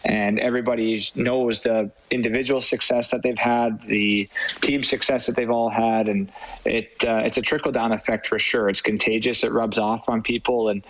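A man's voice: mid-range voice, level tone, normal speed, rough recording. A man in his twenties who plays pro hockey, answering casually as an interview guest. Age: 20s.

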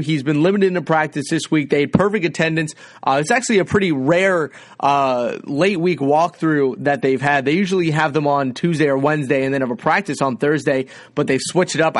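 A man's voice: on the high side, no room sound, studio quality. A man hosting a sports podcast with casual, emphatic, conversational commentary.

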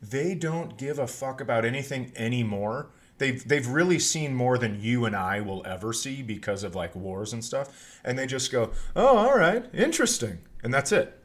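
A man's voice: mid-range voice, sing-song, moderate pace, hardly any pauses. A middle-aged American man chatting casually and telling funny stories as a podcast host. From northern Minnesota, United States. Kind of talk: casual comedic chat and storytelling.

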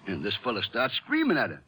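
An actor playing a nervous, talkative small-town marshal with a rambling Texan drawl, in a 1950s radio western style. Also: male voice, medium pitch, huge pitch swings, brisk, sharp tone. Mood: agitated, folksy. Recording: hissy old radio drama recording.

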